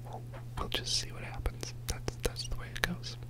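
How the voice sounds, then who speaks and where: A man whispering a soft, rambling ASMR commentary over gameplay as host of his channel, joking about himself with quiet laughs. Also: normal speed. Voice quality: whispering throughout.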